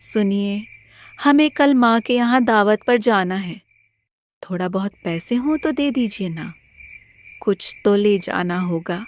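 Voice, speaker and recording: A woman playing a kind, selfless young married village woman in a moral story, gentle and reassuring. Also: mid-range voice, animated, slow pace. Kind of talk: dramatized Hindi moral story.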